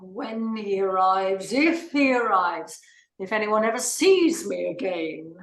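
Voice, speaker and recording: A woman's voice: mid-range voice, expressive, slow. A woman playing a haughty, indignant society lady in a comic play, imperious and theatrical. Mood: weary, bitter. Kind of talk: comic stage play reading. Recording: roomy, echoing sound.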